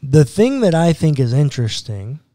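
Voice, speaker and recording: Pastor guy walking through scripture on a podcast, mellow low-key tone, dry close mic. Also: sing-song, normal speed, dry recording. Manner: earnest, explaining step by step with rhetorical questions. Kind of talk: teaching Bible podcast conversation. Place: small studio room with bookshelves. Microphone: boom mic close up.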